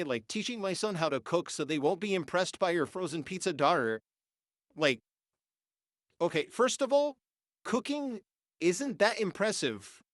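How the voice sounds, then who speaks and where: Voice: mid-range voice, dry recording, studio quality. Nineteen-year-old ranter, stuffy-nosed, AI-filtered voice, heated and cursing. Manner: heated, ranting, swears. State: congested and sniffling. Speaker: vlogger. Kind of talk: unscripted rant.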